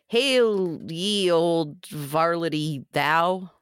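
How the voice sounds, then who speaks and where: A woman's voice: on the low side, lively intonation, extremely slow, smooth, no echo, studio quality. A woman reading the part of a joking young man who is eager to seem cool, in a table read. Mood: mock-suave. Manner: wisecracking, mock-suave.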